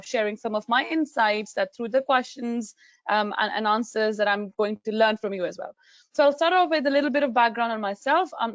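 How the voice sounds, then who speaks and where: A woman from Pakistan with a Pakistani accent, giving an expressive, conversational webinar talk straight to her audience over a muffled video call. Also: fairly high pitch, dry recording. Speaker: guest speaker, council digital officer. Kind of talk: live webinar presentation.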